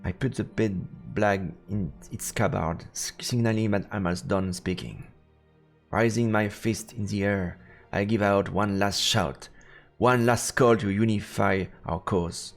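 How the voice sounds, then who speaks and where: A man's voice: lowish voice, steady tone, measured pace, creaky. A man reading a visual novel aloud as dramatic narration on a game stream. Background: game music.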